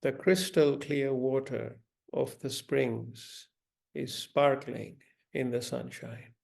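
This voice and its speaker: Slow, gravelly man guiding a meditation. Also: medium pitch, steady tone. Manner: gentle, deliberate, instructing with long pauses. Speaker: meditation guide. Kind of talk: guided meditation.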